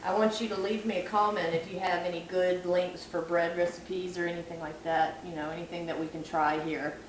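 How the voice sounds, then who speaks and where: A woman's voice: on the low side, level tone, brisk. A woman who is losing her voice gives a chatty, self-deprecating cooking tutorial at home. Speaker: vlogger. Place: home kitchen at night. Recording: echoey kitchen, far from camera, background noise.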